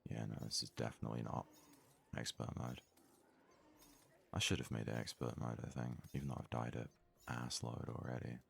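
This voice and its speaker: A man doing hushed ASMR gaming commentary with a gravelly, husky voice, casually musing aloud close to the microphone. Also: a bass voice, completely flat delivery, moderate pace, pauses often. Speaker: let's play host.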